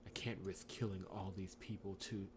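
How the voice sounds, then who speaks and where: Visual novel lead, male reader: stubborn, heroic amnesiac young man, lowish pitch, emphatic delivery, audible background noise. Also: little pitch movement, normal speed, natural voice. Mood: troubled. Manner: emphatic, pleading, swearing when frustrated.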